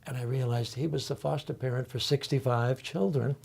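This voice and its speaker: An older man on a discussion panel, speaking thoughtfully and haltingly, with many pauses and fillers. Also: medium pitch, steady tone, gravelly, darkish tone, slightly rough. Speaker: panel guest, college faculty member.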